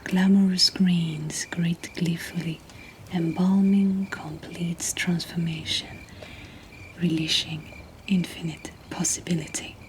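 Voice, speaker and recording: A woman who is not a native English speaker reads poetry as a host in a soft, breathy, gentle whisper, in an ASMR style. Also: fairly low pitch, fairly even intonation. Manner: slow, gentle, deliberate delivery. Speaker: host reading submitted poetry. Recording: nighttime cricket ambience behind, faint noise. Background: crickets and insect ambience.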